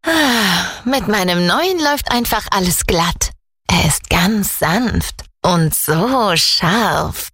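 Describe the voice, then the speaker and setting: A woman's voice: medium pitch, expressive, slow, fairly bright tone, slightly rough, soft background music. A woman reading a scripted advert for a personal care product. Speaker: advert voice-over.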